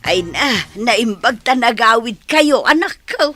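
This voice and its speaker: A woman's voice: fairly high pitch, animated, takes it slowly, over music. An actress playing a fond older village mother in a radio comedy drama, with an Ilocano accent. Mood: fond, relieved.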